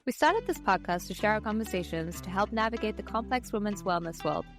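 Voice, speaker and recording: A woman from South Africa with an accent softened by years abroad, co-hosting a podcast in a conversational, somewhat halting way. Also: mid-range voice, faint music behind. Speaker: podcast co-host.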